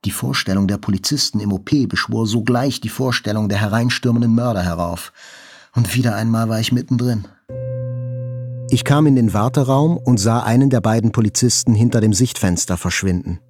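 A man's voice: lowish voice, a little fast, a little mellow, soft background music. An actor playing a wounded male journalist who recalls his hospital ordeal in reflective, first-person literary narration.

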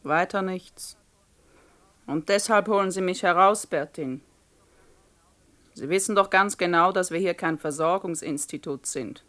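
An actress playing a brusque, haughty middle-aged shop boss in a 1960s radio play, curt and condescending. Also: female voice, on the low side, measured pace, long pauses, fairly bright tone. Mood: irritated.

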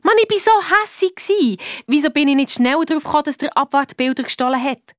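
High-voiced cheeky Swiss schoolgirl detective. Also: a little fast, no room sound. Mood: frustrated. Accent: Swiss German. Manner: animated, colloquial storytelling. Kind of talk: German audio drama narration and dialogue.

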